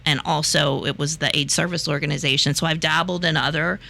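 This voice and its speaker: A woman's voice: low-pitched, fairly even intonation, a little fast, creaky, bright, forceful tone. A woman in her fifties from West Virginia, chatting as a talk show guest, laughing easily and talking with many fillers and restarts. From West Virginia, USA. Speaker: guest, reproductive rights activist. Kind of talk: casual interview talk.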